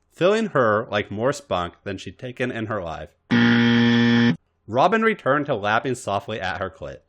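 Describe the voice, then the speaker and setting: A man narrates a written story for a podcast in a lowish voice. His reading is expressive, with pitch that rises and falls a great deal.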